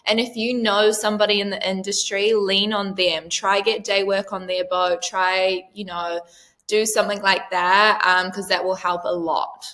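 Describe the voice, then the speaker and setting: A woman in her thirties from New Zealand talks through her answers in an easy, chatty way. She leans on "um" and "like" as she goes. Her voice is bright and clear, set at a medium pitch. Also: level tone, normal speed. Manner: chatty, frequent "um" and "like". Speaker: interview guest, yacht crew member. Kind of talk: casual interview answers.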